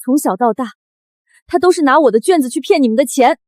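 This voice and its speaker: A wronged teenage daughter in a short drama, pitched high and swinging widely. She speaks with bitter, defiant pride and a melodramatic edge. The sound is dry and close. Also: expressive, quick pace, a little mellow, no room sound. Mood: cold, defiant. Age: young adult. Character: wronged eighteen-year-old daughter, bitter, defiant, fiercely proud.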